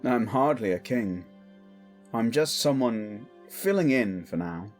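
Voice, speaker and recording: A man's voice: medium pitch, sing-song, slow pace, faint music behind. A man playing a protective exiled prince standing in as king, firm, formal and weary, in a fantasy audio drama. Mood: guarded, weary. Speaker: voice actor.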